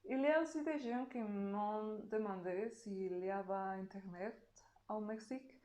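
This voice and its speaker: A woman from Mexico, a native Spanish speaker with a Mexican Spanish accent, answering interview questions slowly and carefully. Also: mid-range voice, dark tone, reverberant. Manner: slow, careful speech with pauses.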